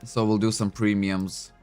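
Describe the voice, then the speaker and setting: A man whose first language is Lithuanian talks in English in a slightly low voice, rambling along with plenty of ums and uhs. He sounds relaxed and conversational, speaking close to a desk microphone in a room at home. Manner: chatty, thinking aloud with many fillers. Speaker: game streamer. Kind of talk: casual livestream commentary.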